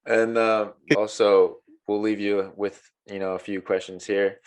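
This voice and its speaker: A man with a fairly low voice hosting a casual podcast. He signs off at an easy pace, padding his lines with fillers as he asks viewers to comment and follow. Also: slow pace. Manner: laid-back, filler-heavy sign-off. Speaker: podcast host. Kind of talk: casual chat, show sign-off.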